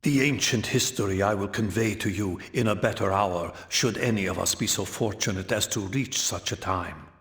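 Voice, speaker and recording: An actor playing an ancient, solemn vampire elder in a fantasy game, speaking with measured, formal, portentous gravity. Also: male voice, lowish voice, fairly bright tone. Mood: solemn, urgent. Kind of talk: theatrical fantasy game cutscene acting.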